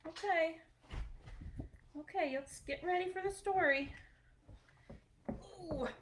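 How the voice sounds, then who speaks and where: A woman's voice: extremely high pitch, slow, a little hiss or hum. A woman hosting a storytime, reading a picture book aloud expressively for children, with short chatty asides.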